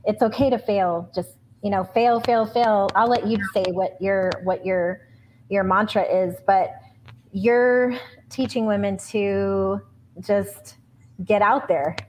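A woman's voice: medium pitch, steady tone, slow, a little mellow, a little hiss or hum, low-quality recording. Effusive, rambling woman co-host. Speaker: podcast co-host. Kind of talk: podcast interview.

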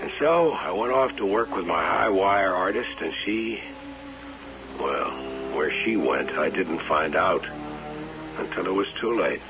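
Harried, gravelly radio-drama showman. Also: sits low, expressive, unhurried, over loud music, full character voice. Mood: rueful narration. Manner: intense, curt, theatrical. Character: harried, irritable showman husband, telling his own downfall. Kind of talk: old-time radio drama acting and first-person narration. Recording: muffled old radio broadcast.

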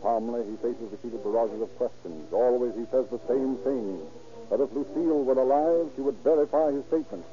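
A man's voice: mid-range voice, fairly quick. Dramatic male narrator for a vintage radio drama, formal scripted delivery, dull recording with music underneath.

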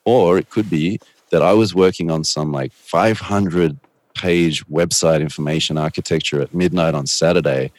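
A middle-aged man with an extremely deep, soothing voice, talking things through as an interview guest. He is thoughtful and rambling, then breaks into dramatic bursts. The sound is dry and close. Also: steady tone, normal speed, no room sound. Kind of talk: reflective conversational answers.

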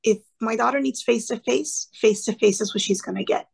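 High gravelly woman telling her story. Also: brisk. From Cuban family background. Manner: earnest, animated, quoting others in lively asides. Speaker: guest, former classroom teacher. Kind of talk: conversational storytelling.

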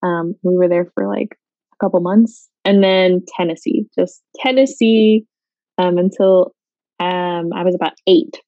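A woman's voice: lowish voice, measured pace, mellow, no echo, highs cut off. A young woman from Guatemala, chatting in an interview with many fillers and easy laughs. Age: young adult. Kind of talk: casual interview.

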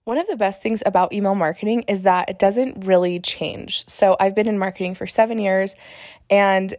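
A young woman hosting her own podcast, still a little hoarse from laryngitis, chatting in an upbeat way with fillers and quick self-corrections. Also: mid-range voice, steady tone, darkish tone, no echo, clean studio sound. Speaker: podcast host, marketer. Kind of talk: casual solo podcast talk.

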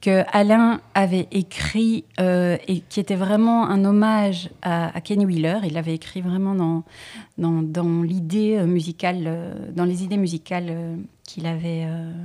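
A woman singer being interviewed about her album, who speaks thoughtfully with frequent hesitations and pauses. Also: lowish voice, steady tone, warm tone. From Belgium. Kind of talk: relaxed interview conversation.

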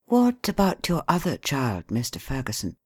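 A woman voicing a calm male detective who speaks quietly and courteously. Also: extremely low pitch, moderate pace, husky, no echo, studio quality, exaggerated voice. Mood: composed.

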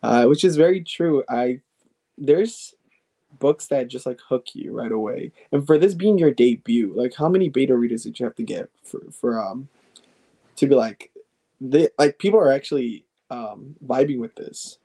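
A young man in his twenties hosts a casual podcast chat, talking in a loose, chatty way. His voice is mellow and relaxed, at an ordinary pitch, and he often circles back and restarts his sentences. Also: normal speed. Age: 20s. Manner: chatty, filler-heavy, restarts phrases. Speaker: podcast host.

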